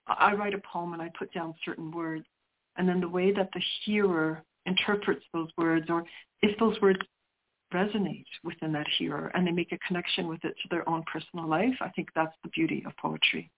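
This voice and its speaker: A woman's voice: fairly low pitch, steady tone, a little fast, a little hiss or hum. A middle-aged woman, a poet whose first language is Sicilian, answering interview questions in a reflective way and often restarting as she searches for words. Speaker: poet guest. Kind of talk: interview conversation. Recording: tinny phone line.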